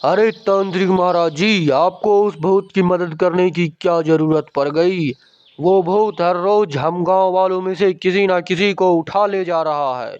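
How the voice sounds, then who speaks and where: A man plays an anxious village leader in a cartoon horror story, who keeps crying out in alarm. The voice is high and slow, and it sounds dry and dull over faint music. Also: a little mellow, smooth, no room sound. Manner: alarmed exclamations. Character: worried male village leader.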